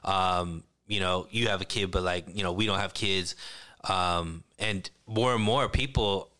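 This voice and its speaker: Podcast host, man in his late thirties, bright and clear voice, rambling casual talk. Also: deep voice, fairly even intonation, measured pace. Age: 30s. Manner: laughs often, loose rambling talk full of fillers. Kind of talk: casual chat.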